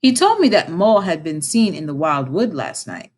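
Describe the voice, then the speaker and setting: A woman's voice: low-pitched, big pitch swings, moderate pace, a little mellow. A woman voicing a bold, confident male otter in a storybook, with a breezy, exclamatory manner.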